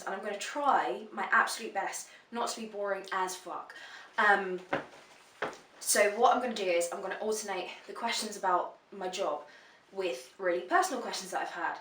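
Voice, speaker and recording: A woman's voice: mid-range voice, a bit bright. A woman answers viewer questions in a frank, chatty vlog, swearing freely. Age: 20s. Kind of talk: casual vlog Q&A. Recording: roomy echo, voice a bit far from the mic.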